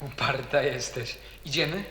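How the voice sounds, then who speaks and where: A man's voice: medium pitch, unhurried, bright, forceful tone, slight background noise. A man playing a rebellious, cynical teenage boy in a radio drama, insistent and moody. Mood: persistent.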